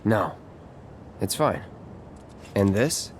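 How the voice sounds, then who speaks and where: An actor playing an aloof, guarded young mercenary in a video game, speaking in a terse, cool deadpan. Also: male voice, on the low side, drawn-out words, a little hiss or hum, heavily acted. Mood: curt, cool. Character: aloof, guarded young male mercenary, curt and money-minded. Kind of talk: video game cutscene acting. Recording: music under the voice.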